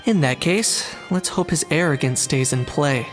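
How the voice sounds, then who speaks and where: A man's voice: a little high, normal speed, long reverb tail, soft background music, close to an everyday voice. An actor playing a practical, hunter-minded young man in a video game, speaking in a thoughtful, level way. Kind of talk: video game cutscene dialogue.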